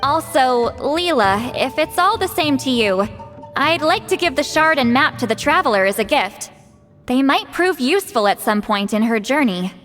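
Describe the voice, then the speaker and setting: A woman's voice: high voice, lively intonation, moderate pace, fairly bright tone, big-room sound, faint music behind. A woman voicing a proud, teasing spirit guide in a video game, brash and offhand in manner. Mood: confident, offhand. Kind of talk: English video game voice acting.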